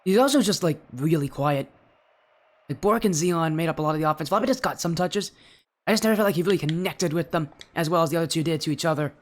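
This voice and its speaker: A young man doing solo play-by-play commentary for an esports match, sounding a little stuffy and yelling in high bursts at big plays. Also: fast talker. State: slightly stuffy from allergies. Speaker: esports caster.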